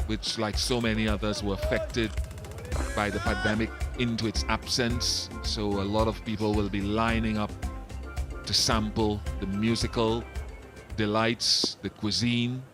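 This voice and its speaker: A man giving relaxed, chatty live cricket commentary, explaining the game's finer points. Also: on the low side, measured pace, over music. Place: commentary box at a cricket ground.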